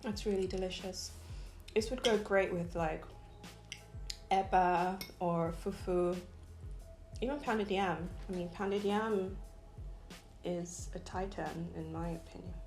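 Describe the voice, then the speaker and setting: Young woman from nigeria chatting between bites, lots of ums, echoey room w soft music. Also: lowish voice, steady tone, slow. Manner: chatty, eating between lines, many ums. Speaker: food vlogger. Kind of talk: casual mukbang chat.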